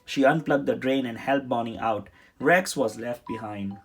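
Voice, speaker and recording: A man reading a children's story aloud in an expressive, dramatic storytelling manner. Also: medium pitch, moderate pace. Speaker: storybook reader. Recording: music behind the voice. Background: music.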